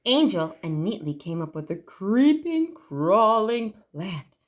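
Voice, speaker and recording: A woman's voice: mid-range voice, sing-song, slow pace. A woman reading a picture book aloud to children expressively, stretching key words for emphasis. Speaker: library storytime reader.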